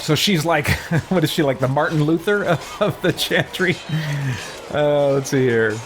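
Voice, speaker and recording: A man giving casual roleplay playthrough commentary, musing and explaining in a halting, thinking-aloud way. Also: fairly high pitch, background noise. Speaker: gaming video host. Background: game music.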